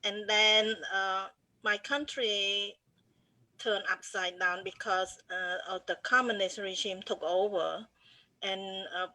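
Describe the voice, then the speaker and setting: Slow, bright Cambodian-accented woman. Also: mid-range voice, dry recording, poor audio. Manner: earnest, hesitant with fillers. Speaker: co-host. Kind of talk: personal introduction on a video call. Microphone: video call.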